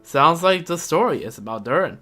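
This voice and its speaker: A man who speaks English as a second language, streaming a game with casual, halting commentary and lore reading. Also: a little high, lively intonation, music in the background. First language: not English. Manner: halting, casual, reacting aloud.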